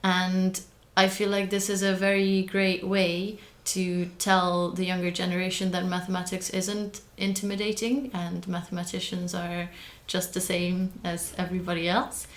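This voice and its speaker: An earnest young woman, a Hungarian native speaker, talks as a podcast guest in a low, level voice. She goes carefully and stops often with small fillers while she looks for the right word. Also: little pitch movement, moderate pace, few pauses. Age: young adult. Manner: careful, earnest, with frequent hesitations. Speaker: guest, early career mathematician. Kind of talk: podcast interview.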